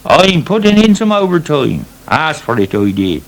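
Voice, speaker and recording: Old west country farm hand, grumbly and proud, gravelly, pitched high for a bloke. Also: male voice, sing-song, moderate pace, poor audio. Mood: aggrieved. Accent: English West Country. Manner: rustic, grumbling. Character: older male farm worker, proud, grumbling, rustic. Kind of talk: radio soap acting.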